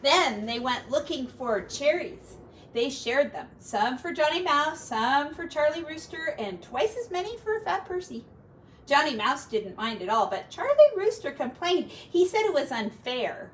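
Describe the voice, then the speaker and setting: A woman teacher reading a picture book to young children expressively, with gentle, simple asides, recorded on a device set back from her. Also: high-pitched, lively intonation, measured pace. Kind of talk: picture-book read-aloud with chatty asides. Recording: distant mic with faint background noise.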